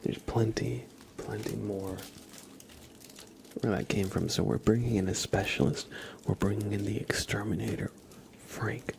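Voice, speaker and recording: A man's voice: on the low side, fairly even intonation, unhurried, creaky, pauses often, background noise. A man hosting a live ASMR stream, chatting to viewers with playful, murmured role-play patter. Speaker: livestream host.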